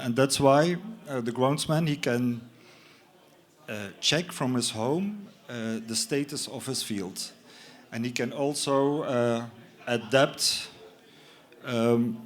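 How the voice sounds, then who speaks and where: Slow, halting man presenting. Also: mid-range voice. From Belgium. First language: not English. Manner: halting, many fillers and restarts. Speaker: conference speaker. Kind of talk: presentation talk. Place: conference lounge in a stadium.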